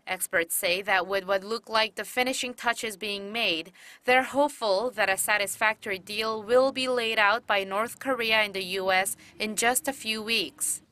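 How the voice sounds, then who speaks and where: A woman reporter reading a scripted news voice-over in a measured, formal way. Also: mid-range voice, steady tone, sharp tone, no room sound.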